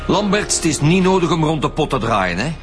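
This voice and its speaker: A man's voice: a little high, very animated, a little fast, over loud music. A man playing a stern, probing Flemish police detective in a naturalistic radio drama, speaking formally. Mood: accusing.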